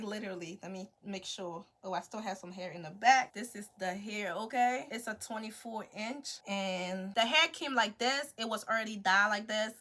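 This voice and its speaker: A woman's voice: medium pitch, bright, forceful tone. Chatty woman. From Liberia, West Africa. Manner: chatty, playful, repeats "okay" as a tag, slangy. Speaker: beauty vlogger. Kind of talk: casual chat to viewers.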